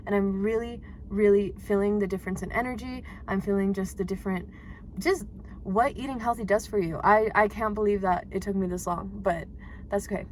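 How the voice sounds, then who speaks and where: A woman's voice: medium pitch, fairly quick, a little hiss or hum. Chatty young woman in her twenties. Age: 20s. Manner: chatty, laughs often, animated. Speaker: vlogger. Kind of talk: casual talk to camera.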